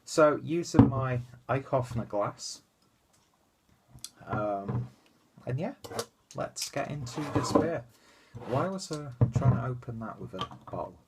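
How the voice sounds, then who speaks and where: A man's voice: mid-range voice, animated, slow pace, long pauses, heavy background noise. A young man with a stuffy, congested nose and a nasal voice, chatting casually through a beer review at home on a webcam. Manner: rambling, chatty, lots of fillers and asides. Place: small room at home, indoors.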